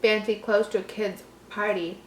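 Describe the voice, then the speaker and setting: A woman's voice: mid-range voice, flat delivery, normal speed, lightly acted. Sullen teenage boy, read by a woman, echoey. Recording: roomy echo, faint background noise.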